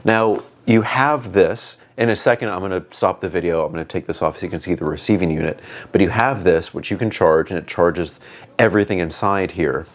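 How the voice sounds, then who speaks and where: A man with a booming voice giving a casual, rambling product review on a clip-on wireless mic, with lots of "like" and "um". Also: brisk. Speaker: tech reviewer. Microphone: wireless clip-on lapel mic.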